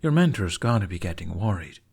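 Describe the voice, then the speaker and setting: A man's voice: deep voice, very animated, a little fast, gravelly, darkish tone, husky, heavily acted. A man voicing a kind, protective and playful young male adventurer in an audiobook. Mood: concerned. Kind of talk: fan fiction audiobook character voice.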